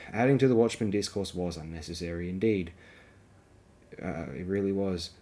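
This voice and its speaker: A man with a low-pitched voice delivers rambling, opinionated commentary as a review host. His phrasing is wordy and bookish, and he often breaks into his own sentences with halting asides and digressions. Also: a little mellow, a little hiss or hum. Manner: halting, self-interrupting asides. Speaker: host of a film review program.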